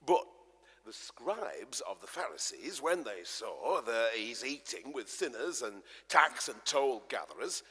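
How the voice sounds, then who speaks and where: A man narrating scripture dramatically, like a theatrical storyteller, with emphatic swells and hushes. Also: medium pitch, very animated, slow pace, husky. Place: large church, live performance. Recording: echoey church, long reverb.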